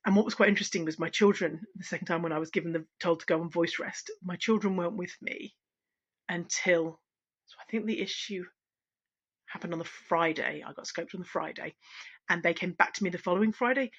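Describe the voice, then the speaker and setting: A middle-aged woman, a singing teacher, talking candidly at home in a relaxed, unscripted vlog, pausing and restarting as she reflects. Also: fairly low pitch, slightly rough. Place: at home, wrapped in a blanket. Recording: dull, lo-fi sound.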